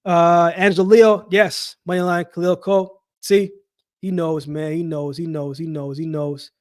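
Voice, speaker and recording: Man, high voice, dry sound, slangy, repetitive for emphasis, casual livestream sports commentary. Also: flat delivery, normal speed, dry recording. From Jamaica-born, raised in Canada. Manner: slangy, repeats phrases for emphasis. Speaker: livestream host. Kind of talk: casual live chat with viewers, boxing commentary.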